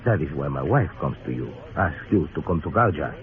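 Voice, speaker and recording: An actor playing a proud, courteous but menacing Spanish casino owner in an old radio western, with a Spanish accent and ornately polite delivery. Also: male voice, extremely low pitch, animated, brisk, a crowd in the background. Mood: menacing, aggrieved. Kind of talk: old radio drama acting. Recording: tinny, noisy old radio recording.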